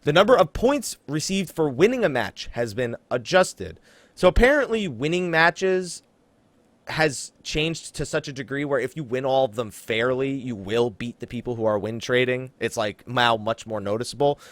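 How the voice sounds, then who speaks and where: A man's voice: medium pitch, animated, normal speed, a bit bright, no echo. A man hosting a gaming podcast in casual conversation, teasing and bantering with his co-host.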